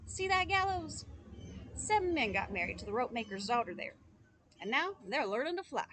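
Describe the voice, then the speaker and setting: A woman's voice: on the high side, sing-song, normal speed, fairly bright tone, a little hiss or hum. A woman voicing a sly passing stranger in a storybook, offering a teasing tip in a conspiratorial tone.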